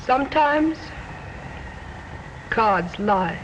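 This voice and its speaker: A woman's voice: on the high side, animated, very slow. An actress playing a woman who reads fortunes from cards, teasing and ominous, in 1940s radio acting. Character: woman reading cards, cryptic fortune-teller. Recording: dull old radio recording with faint hiss.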